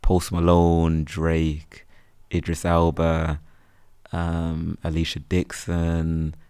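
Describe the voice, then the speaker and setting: Gravelly deep Birmingham man, relaxed chat. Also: very slow, no room sound, clean studio sound. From England. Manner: relaxed, rambling, lots of "like". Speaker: guest, freelance photographer. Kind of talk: casual interview chat.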